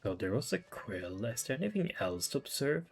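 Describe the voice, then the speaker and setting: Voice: medium pitch, big pitch swings, brisk, gravelly, soft background music. A game streamer giving casual playthrough commentary, thinking aloud in an informal, muttering way.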